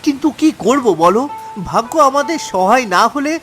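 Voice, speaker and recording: A man's voice: very high-pitched, animated, normal speed, a bit bright. A man playing a kind-hearted, earnest and hesitant poor husband in a cartoon. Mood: pleading. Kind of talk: Bengali moral-story cartoon acting. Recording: music under the voice.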